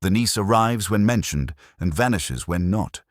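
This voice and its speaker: A man's voice: fairly low pitch, expressive, normal speed, dry recording, clean studio sound, pitched above the performer's own voice, close to an everyday voice. A man voicing an agreeable, dutiful junior policeman who reports drolly and matter-of-factly in a mystery story. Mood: dutiful, droll.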